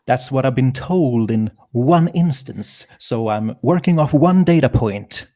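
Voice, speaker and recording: A man's voice: mid-range voice, lively intonation, unhurried, dark tone. A man, a guest writer on a video call, rambling and thinking aloud with fillers. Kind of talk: casual livestream chat.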